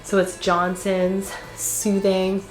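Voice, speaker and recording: A woman's voice: mid-range voice, monotone, slow, soft background music. A congested woman vlogger chatting casually, laughing often and filling her speech with fillers. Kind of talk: casual chat. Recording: echoey room.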